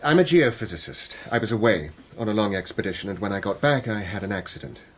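An actor playing an earnest, awkward scientist in a radio drama, hesitant, apologetic and painstakingly polite. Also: male voice, on the low side, expressive, fast, slightly rough. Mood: uneasy, earnest. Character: earnest, awkward male scientist, a troubled visiting stranger. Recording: faint background noise.

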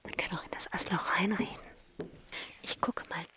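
A woman thinking aloud on a walk in a hushed, often whispering voice. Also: on the low side, steady tone, normal speed. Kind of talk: spontaneous musing on a walk.

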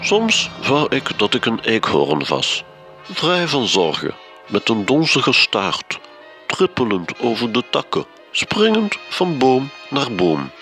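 A man's voice: medium pitch, huge pitch swings, takes it slowly, pushed and bright, faint music behind. A man with a Flemish accent narrating a comedy radio play, wry and mock-serious.

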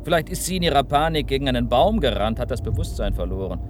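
A man's voice: mid-range voice, very animated, fast, close to an everyday voice. A man playing a brusque, self-important government press officer in a radio play, with a smug, dismissive manner. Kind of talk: naturalistic radio play dialogue. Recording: loud car and traffic noise.

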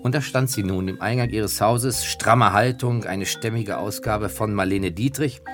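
A man's voice: lowish voice, unhurried. A man narrating personal reminiscences as author of a radio feature. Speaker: author and narrator, former magazine editor-in-chief. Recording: music behind the voice. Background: music.

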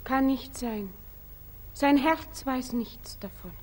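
A young daughter with a very high voice, devoted and distraught. She pleads slowly and with theatrical passion, in the declamatory style of an old 1940s radio drama. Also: darkish tone. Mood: stunned, hushed. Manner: impassioned, pleading, slow theatrical delivery. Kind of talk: 1940s German radio stage acting, declamatory.

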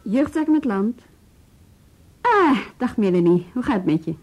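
Mellow, higher-voiced female police chief. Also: sing-song, a little slow, slight background noise. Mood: reassuring. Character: composed female police bureau chief, tactful but firm. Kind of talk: Dutch radio drama acting.

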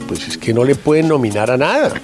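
A man's voice: mid-range voice, wildly swooping pitch, quick pace, over music. A man hosting a radio show, chatting casually in a joking, teasing and opinionated way.

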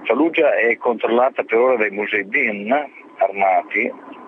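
Male field journalist, lowish and halting, improvised radio report over a tinny, noisy telephone-like line. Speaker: journalist reporting on a war zone. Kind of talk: spontaneous spoken radio report. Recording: narrow phone-like line, background noise.

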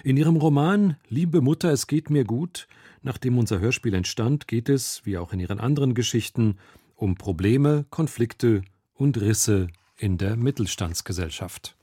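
A man's voice: medium pitch, animated, normal speed, warm tone, slightly rough, no room sound, studio quality. A man reading a scripted introduction as a radio presenter.

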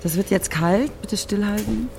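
Female actor as a businesslike doctor, medium pitch, clinical radio drama delivery, faint background noise. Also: moderate pace, creaky, lightly acted. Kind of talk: naturalistic radio drama acting.

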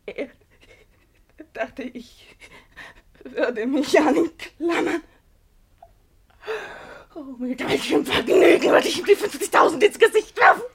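A proud, wounded young gentlewoman in a radio drama. Her high voice swings widely in pitch, with bitter, volatile and theatrical delivery. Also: animated, takes it slowly, creaky, pauses often, heavily acted. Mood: wounded bitterness. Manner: theatrical, emotionally charged. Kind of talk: German radio drama acting.

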